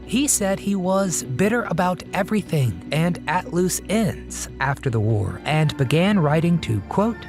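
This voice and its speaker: Scripted narrator over clear background music. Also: medium pitch, expressive, unhurried, few pauses. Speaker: documentary video narrator. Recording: music bed behind the voice.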